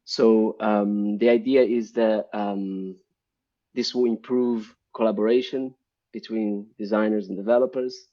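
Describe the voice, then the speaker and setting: A man with a low, warm voice, heard through dull lo-fi stream audio. He is from Brazil and talks as a guest on a live stream, full of ums and self-corrections. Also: fairly even intonation, a little slow. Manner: hesitant, many ums and restarts. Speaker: guest, UI developer. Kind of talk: casual live-stream interview.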